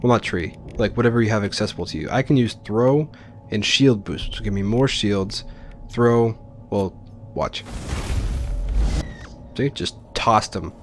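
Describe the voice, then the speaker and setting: A man with a fairly low voice hosts a game playthrough in a casual, informal way. He rambles through his explanations and exclaims freely, with music clearly audible behind him. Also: unhurried, long pauses. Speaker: let's play host. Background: game music and sound.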